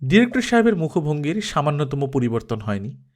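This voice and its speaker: A man's voice: a little high, big pitch swings, a little fast. A man reading a story aloud as an audiobook narrator, in a dramatic, scripted storytelling style.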